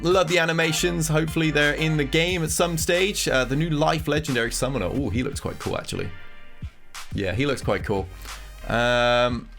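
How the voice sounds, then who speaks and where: A man whose voice is stuffed up and nasal from a cold rambles through casual commentary on gaming news. He sits at a middling pitch and thinks aloud as he goes. Soft guitar music plays faintly underneath. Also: vocal fry. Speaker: gaming content creator.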